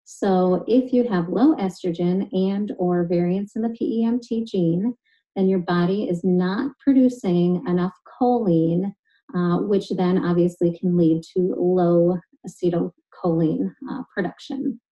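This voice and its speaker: A woman nutritionist explaining a health topic in a clear, steady, scripted way. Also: lowish voice, measured pace, lo-fi sound. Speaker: nutritionist and genetic specialist.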